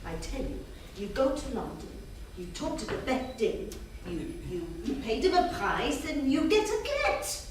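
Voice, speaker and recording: A woman's voice: a little high, wildly swooping pitch, unhurried. A woman playing a scornful, meddling mother of a grown son, indignant and emphatic, in a staged drama reading. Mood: bossy, insistent. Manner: indignant, emphatic, Yiddish-peppered. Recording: echoey theatre, distant mic, faint noise.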